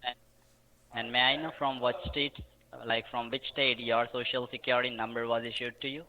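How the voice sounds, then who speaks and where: A man's voice: mid-range voice, little pitch movement, moderate pace, a little hiss or hum. A man posing as an official on a phone call, asking questions insistently in broken, ungrammatical English.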